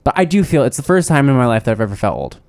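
Animated man joking on a podcast. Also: mid-range voice, vocal fry, no room sound, clean studio sound. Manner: expressive, jokey, quick to laugh. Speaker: podcast co-host. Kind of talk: casual podcast chat.

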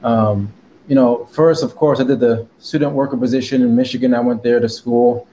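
A man's voice: medium pitch, steady tone, moderate pace, warm tone, a little hiss or hum. A man from Connecticut, a guest in an interview, talking casually with lots of fillers. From Connecticut, USA. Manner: rambling with many fillers and laughs.

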